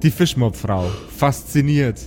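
A man's voice: medium pitch, expressive, unhurried, a little mellow, soft background music. A man narrating an improvised tabletop audio play as game master.

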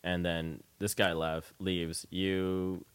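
Low, dry-sounding male interviewer. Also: little pitch movement, slow, clean tone, dry recording. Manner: tentative, loosely phrased questions. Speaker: radio show host, interviewer. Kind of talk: casual conversational interviewing.

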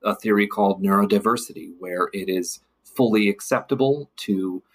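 Low, slightly husky man, thoughtful guest. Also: moderate pace. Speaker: podcast guest, city council member. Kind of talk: thoughtful podcast discussion.

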